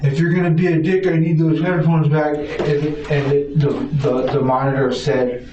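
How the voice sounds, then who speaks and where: A frightened, distressed man being interrogated as a suspect, giving a halting, rambling account and stammering over repeated words. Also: on the high side, level tone, a little mellow, soft background music. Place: indoor police interview room. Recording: roomy, distant, muffled, noisy interrogation recording.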